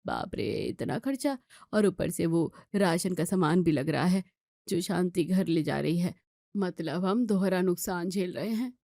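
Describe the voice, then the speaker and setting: A woman's voice: fairly low pitch, a little slow, dark tone, no echo, studio quality. Woman as a grumbling older mother-in-law. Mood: concerned. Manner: grumbling, matronly. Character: concerned, disapproving older Indian mother-in-law. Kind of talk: acted Hindi family story dialogue.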